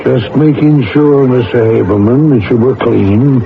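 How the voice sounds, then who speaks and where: Gravelly, commanding federal agent. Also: male voice, mid-range voice, measured pace, noisy background. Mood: calm, controlled. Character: calm, commanding male federal security official. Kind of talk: radio drama acting. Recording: tinny vintage radio recording, music behind. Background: music.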